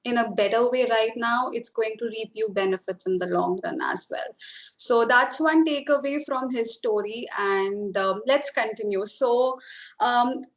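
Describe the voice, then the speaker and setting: A woman's voice: a little high, measured pace, highs cut off. A woman with an Indian accent hosting an interview, explaining points emphatically to viewers. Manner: explanatory, emphatic, mixes in Hindi phrases. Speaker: IELTS coaching host. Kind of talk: interviewing a student, advising viewers.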